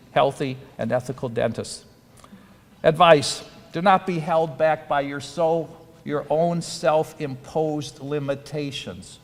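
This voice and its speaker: A man as a dental school dean giving an earnest, advice-filled ceremony speech. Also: medium pitch. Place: auditorium. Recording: reverberant hall.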